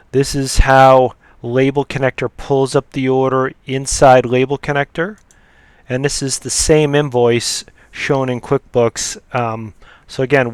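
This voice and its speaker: A man giving an unscripted software demo in a halting, matter-of-fact way as he explains each step. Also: mid-range voice, clear, resonant tone, no echo. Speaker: software demonstrator. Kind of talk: unscripted screen-recorded software tutorial.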